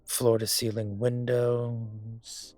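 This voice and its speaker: A man acting a suave, older, gentlemanly dragon mafia boss in a romantic roleplay audio drama, courtly and teasing, with a husky voice. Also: mid-range voice, flat delivery. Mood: relaxed, proud. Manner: unhurried, courtly, teasing, intimate. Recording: music behind the voice.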